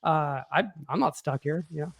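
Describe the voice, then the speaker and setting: A man's voice: on the high side, normal speed, creaky, a bit bright. An American man hosting a podcast, chatting casually about politics in a blunt, informal way. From Washington State, United States. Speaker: podcast host.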